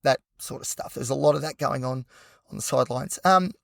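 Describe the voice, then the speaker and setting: An Australian man hosting a solo podcast with a hoarse, croaky voice, talking passionately and rambling with lots of fillers. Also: medium pitch, fairly quick, no echo, studio quality. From Newcastle, New South Wales, Australia. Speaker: podcast host.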